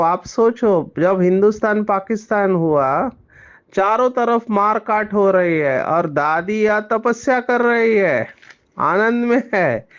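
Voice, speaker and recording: A man giving a spiritual discourse as a teacher, explaining slowly and mixing in English words. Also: high voice, lo-fi sound.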